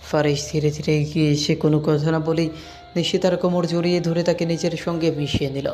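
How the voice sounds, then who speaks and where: A narrator with a flat, even delivery and a mid-pitched voice reads a scripted romance story. Music runs underneath the voice. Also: fairly quick.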